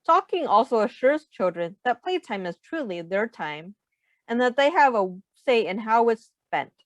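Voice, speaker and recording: Woman, read-aloud narration, instructive and deliberate, lively intonation, close dry sound. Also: fairly high pitch, dry recording. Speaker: teacher reading a picture book aloud.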